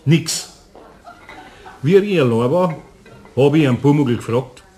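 A man's voice: medium pitch, animated, unhurried, warm tone, natural voice. An actor playing a good-natured master craftsman with a Bavarian accent, speaking in a homely, earnest way in a radio play. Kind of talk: German radio play acting.

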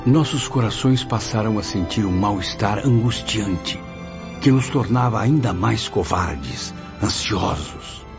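A sorrowful spirit recalling his past played by a man in a deep voice with a Brazilian accent. His narration is solemn and literary. It sounds muffled, with clear music behind. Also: lively intonation. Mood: anguished. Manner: solemn, measured literary diction. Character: sorrowful male spirit apprentice recounting his memories. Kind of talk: Brazilian radio drama first-person narration. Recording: muffled audio under prominent music.

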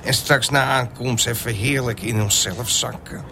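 A man's voice: medium pitch, steady tone, moderate pace, creaky, background noise, close to an everyday voice. An actor playing a chatty, cooing male holidaymaker on a plane in a satirical radio play. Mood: cosy, pleased. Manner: cooing, fussing, exclaiming.

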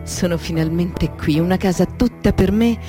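A woman playing a lonely, searching young girl in a poetic radio drama, speaking intimately in a husky voice. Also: on the low side, moderate pace, music in the background, close to an everyday voice. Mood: dreamy. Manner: intimate, lyrical, questioning.

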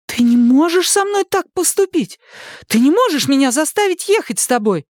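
A woman voicing a rebellious, hysterical nineteen-year-old daughter in a dramatised audiobook, shrill and indignant in her protests. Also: lively intonation, normal speed, no room sound, studio quality. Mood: indignant, defiant.

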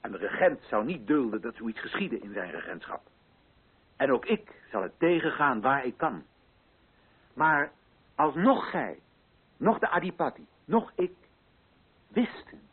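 Solemn orating colonial official, swooping delivery. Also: male voice, medium pitch, big pitch swings, breathy edge, narrow, tinny audio, exaggerated voice. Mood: stern, urgent. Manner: solemn, rhetorical, deliberate phrasing. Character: solemn colonial official, a man, addressing assembled village chiefs. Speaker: actor in a radio play. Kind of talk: declaimed theatrical oratory in a radio play.

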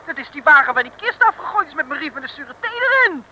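An actress playing a plucky, level-headed young detective's assistant, brisk and matter-of-fact, in an old radio serial. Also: female voice, sits high, very animated, sharp tone, heavily acted, poor audio. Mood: excited, insistent. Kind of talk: 1950s-60s radio serial acting.

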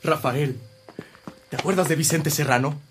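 Actor as higher-voiced earnest Mexican friend. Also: male voice, normal speed, background noise, lightly acted. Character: earnest male friend bringing news to a priest. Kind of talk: radio drama acting.

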